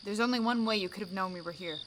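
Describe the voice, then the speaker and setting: A woman's voice: medium pitch, quick pace, noisy background, natural voice. A woman playing a protective, defiant ship's captain in an audio drama, commanding and dry. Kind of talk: scripted audio drama acting.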